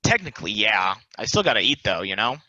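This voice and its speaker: A man's voice: fairly low pitch, sing-song, fast, sharp tone, dry recording, full character voice. A man playing a self-deprecating, philosophical and restless immortal football player, rambling confidingly in a casual stage play reading. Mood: wry, resigned. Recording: dry, slightly dull recording.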